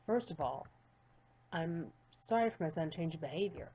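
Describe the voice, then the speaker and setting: A woman's voice: fairly low pitch, animated, mellow, breathy edge, lo-fi sound, pitched below the performer's own voice. Woman doing a burned-out, mumbling male developer. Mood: weary. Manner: subdued, halting, stammering.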